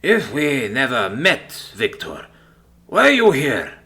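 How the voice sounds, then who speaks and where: Man playing a gruff, suspicious Greek soldier, wildly swinging pitch. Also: huge pitch swings, sharp tone, full character voice. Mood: suspicious, confused. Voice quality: croaky from disuse. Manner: halting broken English. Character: wary, haunted stranded male major, gruff and suspicious.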